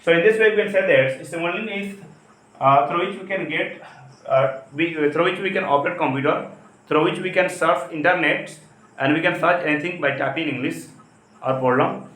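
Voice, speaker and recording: A man from India with an Indian accent and Hindi as his first language, giving an explanatory English lesson as a teacher, with halting, repetitive phrasing. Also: on the high side, a bit bright. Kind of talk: spoken lesson, explanatory talk. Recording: echoey, roomy sound.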